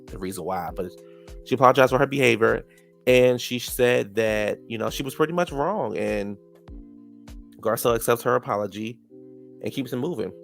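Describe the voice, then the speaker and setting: A man's voice: on the low side, gravelly. A man with a stuffed-up nose giving a chatty, sassy recap of a reality show, calling people "child" and "honey". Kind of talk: casual recap commentary.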